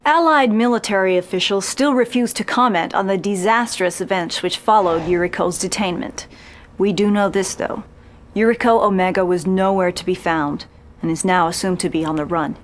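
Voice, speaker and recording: An actress plays a female TV reporter in a game cutscene. She narrates her special report with polished, measured delivery and a grave, ominous sense of drama. Her pitch is medium for a woman. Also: a little hiss or hum, natural voice. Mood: ominous, dramatic.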